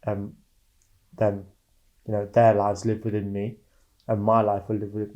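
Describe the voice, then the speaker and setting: A man's voice: fairly low pitch, mellow, lo-fi sound. A man thinking aloud reflectively while answering documentary interview questions.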